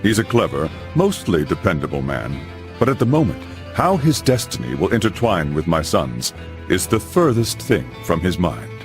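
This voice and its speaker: Brief: a low-voiced knight father narrating a game saga, grave and dramatic, with expressive swings over music. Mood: grave storytelling. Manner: grave, measured storyteller.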